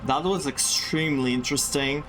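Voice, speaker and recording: A man playing through a game story and thinking aloud, excited and chatty, in a room indoors. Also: on the high side, normal speed, low-quality recording. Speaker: gaming streamer. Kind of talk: let's-play commentary. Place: indoor room with air conditioning. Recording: voice over game music.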